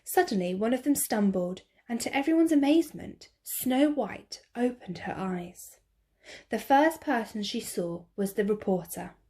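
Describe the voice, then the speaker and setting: A woman teacher reading a picture book aloud to children, slow, clear and expressive. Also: a little high.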